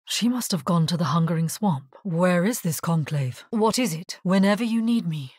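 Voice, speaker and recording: A resolute female necromancer from a dark fantasy game. Her voice is fairly low and husky with breath in it, and she speaks gravely and with measure. The sound is dry and close. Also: lively intonation, normal speed, dry recording. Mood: determined. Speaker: video game voice actor. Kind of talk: dramatic scripted game dialogue.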